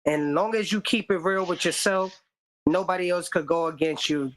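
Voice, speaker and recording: A woman with a raspy voice chatting casually and supportively on a group call, plain-spoken and affirming. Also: lowish voice, normal speed, poor audio.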